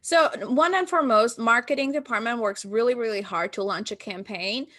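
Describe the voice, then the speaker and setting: A woman from Albania, in her thirties, speaks in a fairly high voice as a podcast guest. She tells stories with energy and often acts out quoted dialogue from herself and other people. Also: moderate pace, fairly bright tone. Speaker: guest, marketing business founder. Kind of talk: casual podcast interview.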